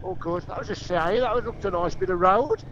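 Male rider, English accent, high-pitched, casual chat, dull outdoor recording. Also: a little fast, fairly bright tone. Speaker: motorcycle rider. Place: outdoors on the road. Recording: dull noisy outdoor motorbike audio. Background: motor vehicle and road noise.

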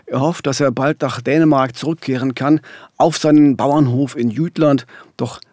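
A man's voice: medium pitch, fairly even intonation, normal speed, darkish tone, dry recording. A middle-aged man narrating a true-crime podcast as co-host at a studio microphone. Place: small radio studio. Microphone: studio microphone, headphones.

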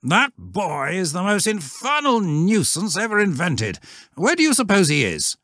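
A man voicing an irritable, hurried adult scoutmaster scolding and giving orders. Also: sits high, wildly swooping pitch, a bit bright, dry recording, studio quality, voice pushed up, heavily acted. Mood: exasperated. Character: exasperated, brisk male scoutmaster.

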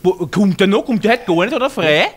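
A stammering Viennese heckler. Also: male voice, high-pitched, lively intonation, fast talker. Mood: gossipy. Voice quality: stammering, rambling, coarse. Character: grumpy older Viennese male heckler in the audience. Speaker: comedy partner playing a heckler. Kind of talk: live cabaret sketch. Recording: live stage recording with audience laughter.

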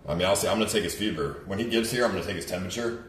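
A man's voice: sits low, racing. A man chatting casually on a livestream in a blunt, sweary way. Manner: blunt, profane. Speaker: streamer and event organiser. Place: small kitchen, indoors. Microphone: distant camera or phone mic. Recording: echoey room, distant mic, some noise.

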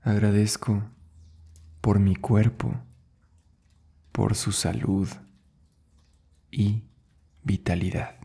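A man leading a guided meditation as an instructor, soothing and unhurried, speaking in short phrases with long pauses between them. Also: on the low side, little pitch movement, creaky, dark tone, slightly rough. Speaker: yoga and meditation instructor, host.

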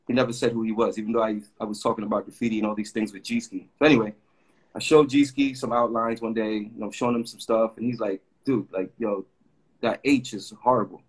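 A man's voice: on the low side, fairly even intonation, brisk, breathy edge, low-quality recording. A middle-aged man from Puerto Rico, chatting on a video call and telling long rambling stories full of fillers. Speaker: graffiti artist guest. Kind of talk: casual storytelling interview. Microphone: video call webcam.

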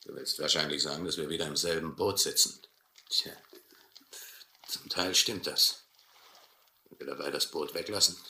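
An actor playing a hard, sardonic sea captain in a radio play, speaking in slow, terse phrases. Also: male voice, extremely low pitch, steady tone, pushed and bright, heavily acted. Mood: dryly sardonic. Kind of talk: German radio play acting. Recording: roomy, noisy old radio play recording.

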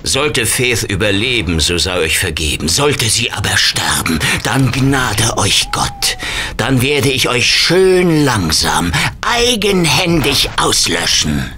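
An actor playing a domineering, menacing villain in an audio drama, speaking in a pompous, threatening way. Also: male voice, mid-range voice, very animated, slow, sharp tone, a little hiss or hum, exaggerated voice. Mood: cold threat. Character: domineering male villain leading vampire women, menacing, imperious.

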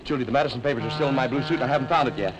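A man's voice: mid-range voice, very fast, background noise, lightly acted. An actor in his thirties playing a frantic young businessman who talks in an urgent, pleading rush, in a 1950s television comedy.

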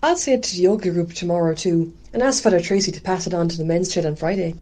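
A woman's voice: on the low side, quick pace, dark tone, lightly acted. A woman playing a helpful Irish parish committee member in a radio drama. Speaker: actor in an amateur radio drama.

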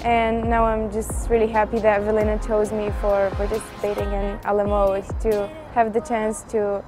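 A woman in her twenties from Bulgaria, with a Bulgarian accent and a calm, even delivery, introducing herself to camera. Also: medium pitch, moderate pace. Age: 20s. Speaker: model contestant. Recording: clear background music.

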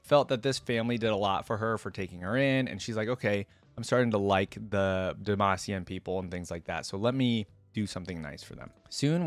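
A man's voice: lowish voice, no echo. A young man giving casual reaction commentary and reading lore aloud, close on a desk microphone. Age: young adult. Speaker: reaction video creator. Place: indoor room at a desk.

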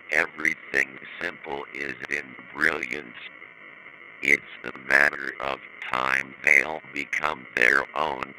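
A man voicing a sinister disembodied entity, reciting menacing, drawn-out verse in a gravelly voice on a noisy analog horror tape. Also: rumbling low, bright, forceful tone, heavily acted. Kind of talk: slow ominous verse recital.

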